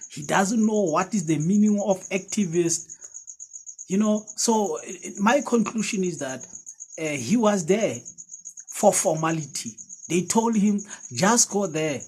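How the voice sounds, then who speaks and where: A man's voice: sits high, darkish tone, slightly rough, rough recording. A man from South Africa, a Sesotho speaker with a South African accent, giving a casual political commentary to camera with many fillers and restarts.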